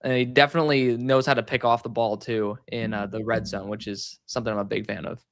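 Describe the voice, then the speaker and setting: A young man talking casually as a guest on a live show, relaxed and thinking aloud with fillers and easy laughs. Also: mid-range voice, quick pace, no echo, studio quality. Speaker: guest mock draft analyst.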